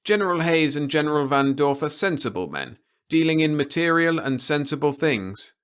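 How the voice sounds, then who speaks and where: A man performs a stern, articulate official from an audiobook story. The voice is lifted well above a middle register, with deliberate, emphatic and formal delivery, like a lecture to someone stubborn. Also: a little high, lively intonation, a bit bright, clear, resonant tone, pitched above the performer's own voice. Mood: stern, warning. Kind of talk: audiobook character dialogue.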